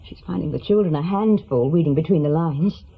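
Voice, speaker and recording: A woman's voice: low voice, brisk, gravelly, slightly rough, heavily acted. A woman playing a practical, reproachful middle-aged estranged wife in a radio play, speaking tersely and pointedly. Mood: guarded, practical. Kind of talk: naturalistic radio drama acting. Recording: dull, lo-fi radio drama recording.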